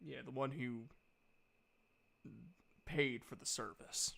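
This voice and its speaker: A man's voice: medium pitch, fairly even intonation, slow pace, vocal fry, bright, forceful tone. A man chatting casually as he takes part in a tabletop roleplaying game.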